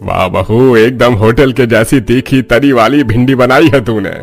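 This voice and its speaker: A man's voice: mid-range voice, unhurried, soft background music, close to an everyday voice. A man voicing a hearty, food-loving middle-aged father-in-law in a cartoon moral story. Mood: pleased.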